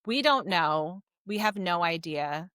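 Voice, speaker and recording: A woman retells a story as a podcast co-host. Her voice is bright and forward, recorded dry. She is informal and chatty, leaning on key words as she goes. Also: lowish voice, lively intonation, slow pace, clear, resonant tone, no echo. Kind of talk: casual book discussion recapping a story.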